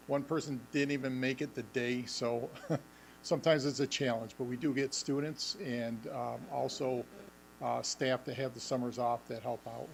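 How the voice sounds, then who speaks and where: A man presenting a facilities update at a school board meeting, halting with many ums and restarts, and losing his voice. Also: mid-range voice, vocal fry, a little hiss or hum, rough recording. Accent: Western New York. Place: school administration meeting room.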